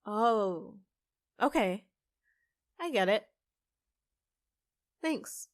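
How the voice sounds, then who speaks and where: Casting: actress for a secretive, easily flustered young half-fae woman in a fantasy audio drama; slow, halting, dry studio sound. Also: mid-range voice, expressive, no echo. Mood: earnest, curious.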